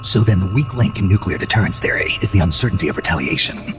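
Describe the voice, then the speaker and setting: An actor playing a gruff, terse veteran soldier in a video game, with a breathy gravel rasp and clipped delivery. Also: male voice, deep voice, expressive, quick pace. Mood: probing, terse. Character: gruff, terse male soldier, cut-loose Cold War veteran. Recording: loud music behind. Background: music.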